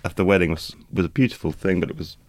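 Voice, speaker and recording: A man's voice: sits low, fast talker, dry recording. A man co-hosting a podcast, with a dry, joking manner. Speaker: podcast co-host. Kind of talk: podcast discussion. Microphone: studio mic.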